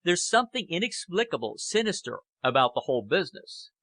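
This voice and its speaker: A man performs a grave British Prime Minister of an audiobook novel, strained and aging. He orates sternly and deliberately in a forceful, raised voice with sweeping emphasis. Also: fairly high pitch, big pitch swings, dry recording, pitched above the performer's own voice, full character voice. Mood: stern, urgent. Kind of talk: audiobook character acting.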